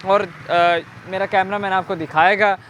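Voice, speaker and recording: A man with a Pakistani accent presenting a walk-through documentary on location, explaining in a halting way. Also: high-pitched, fairly even intonation, a bit bright, a little hiss or hum. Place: on location in an old fort's streets.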